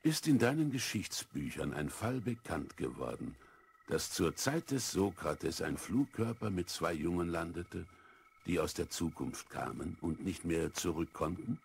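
An actor playing a wise, patient old philosopher in a children's radio play, with a husky, gravelly voice and a measured, thoughtful manner. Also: male voice, low voice, very animated, heavily acted. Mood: thoughtful, kindly. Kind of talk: 1970s German children's radio play acting.

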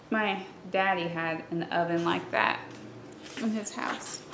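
A young woman reading a children's storybook aloud in an expressive, explanatory way, in a room at home. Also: medium pitch, reverberant. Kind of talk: storybook read-aloud with casual asides. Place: small room at home, by a window.

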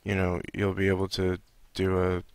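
A sleepy man giving a casual, improvised walkthrough, hesitant and low-key as he thinks aloud. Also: monotone, normal speed, clear, resonant tone, dry recording. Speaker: audio editing tutorial presenter.

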